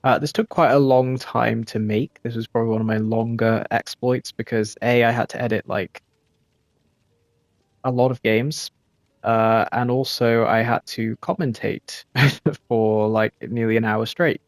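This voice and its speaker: A man giving relaxed post-game commentary over his gameplay, laid-back and self-critical, with frequent ums and uhs. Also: mid-range voice, unhurried, dry recording. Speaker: gaming video creator.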